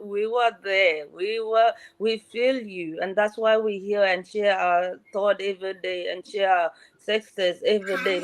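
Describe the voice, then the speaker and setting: A woman's voice: medium pitch, dry recording, rough recording. A woman from South Sudan introducing herself on a video call, speaking in a reassuring way that circles back and restarts often. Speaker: guest mentor. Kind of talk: motivational self-introduction.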